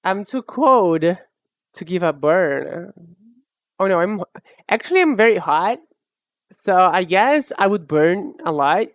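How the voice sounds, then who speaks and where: A young woman streamer chatting casually while she draws, rambling and self-mocking in a soft, low-key way. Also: animated, takes it slowly, long pauses, no room sound. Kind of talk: casual chat while drawing live.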